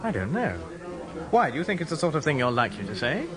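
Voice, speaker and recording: A man's voice: fairly high pitch, sing-song, fast talker, music in the background. An actor playing a bewildered, exasperated ordinary Englishman in a radio comedy, wry and querulous.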